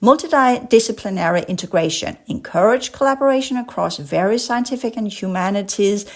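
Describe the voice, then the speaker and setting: A woman's voice: mid-range voice, a little fast. A woman from Denmark with a Danish accent, a podcast host who reads an article aloud and breaks off into impassioned commentary, sometimes stumbling over long words. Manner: passionate, digresses into rants.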